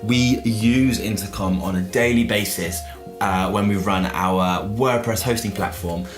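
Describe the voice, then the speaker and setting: Man, lowish voice, informal explainer, reviewer style, everyday analogies. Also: a little slow, soft background music. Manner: explanatory, uses everyday analogies and rhetorical questions. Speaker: book reviewer and software business owner. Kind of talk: informal book review to camera.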